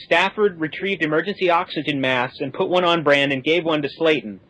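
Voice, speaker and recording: A man's voice: fairly high pitch, a little fast, fairly bright tone, low-quality recording. A man speaking as a podcast guest, explaining things informally. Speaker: podcast guest, space simulation specialist.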